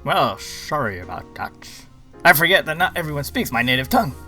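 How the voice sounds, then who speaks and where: A man's voice: fairly high pitch, very animated, a little fast, bright, forceful tone. A male cruise-ship activities director acted by a man, in a comic audio play. He is long-winded and officious, rattling through details at speed over faint music. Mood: pedantic, proud. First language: Spanish. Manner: rambling, rattles off long lists. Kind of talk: comic audio drama acting. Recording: music playing behind the voice.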